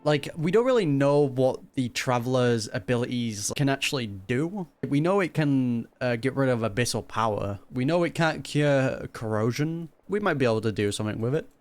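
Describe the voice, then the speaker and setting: A man's voice: medium pitch, animated, moderate pace, few pauses, soft background music. Wry, slangy male gaming commentator. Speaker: gaming content creator. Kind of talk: casual reaction commentary over gameplay.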